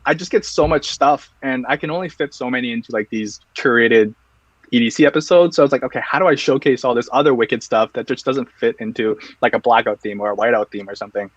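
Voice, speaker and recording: A man's voice: mid-range voice, fast, dry recording, highs cut off. A man guesting on an interview, talking casually and loosely with lots of restarts and fillers. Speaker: guest, EDC accessory reviewer.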